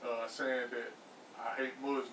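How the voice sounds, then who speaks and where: A man's voice: medium pitch, flat delivery, measured pace, fairly bright tone, far from the mic, a little hiss or hum. A man co-hosting a casual podcast, reasoning aloud with stammers, restarts and profanity. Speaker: podcast co-host. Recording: echoey room, off-mic.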